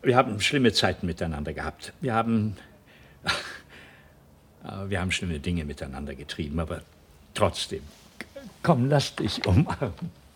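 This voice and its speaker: An actor in a German radio drama playing a choleric, bitter middle-aged husband and company boss, speaking haltingly and with volatile feeling. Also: male voice, low voice, sing-song, breathy edge, full character voice. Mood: moved, grateful. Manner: stammering, emotionally volatile.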